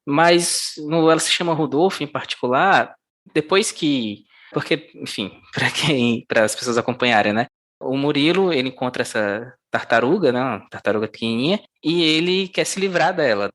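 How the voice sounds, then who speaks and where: An interviewer who talks in a steady, mid-range voice. They take their time summarizing before building up to a question. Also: medium pitch. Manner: thoughtful, slow, summarizing before asking. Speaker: podcast interviewer.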